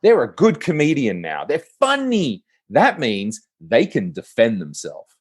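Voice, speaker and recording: Animated Australian man telling stories. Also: mid-range voice, measured pace, husky. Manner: animated storyteller with frequent fillers and restarts. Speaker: podcast guest, comedy producer. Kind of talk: casual conversational interview.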